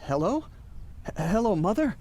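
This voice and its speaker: An actor playing a haunted, frightened male traveller, telling his ordeal in an intense, halting radio play style with repeated words. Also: sits high, sing-song, dark tone. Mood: bewildered, panicked. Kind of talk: dramatic first-person radio play narration. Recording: music under the voice. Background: dramatic music.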